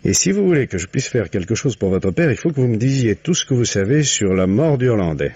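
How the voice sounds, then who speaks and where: A man's voice: medium pitch, very animated, fast talker, mellow, husky. A man playing a shrewd, patient police commissioner in a French radio mystery, questioning in a probing, paternal, conversational way with muttered prompts. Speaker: actor in a radio mystery play. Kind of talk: 1950s French radio drama acting. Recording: muffled old radio recording.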